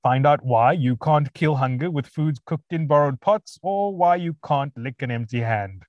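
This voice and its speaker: A man hosting an interview, reading a prepared introduction and then asking questions with pauses. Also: on the high side, a little mellow, no echo, dull audio. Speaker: podcast host.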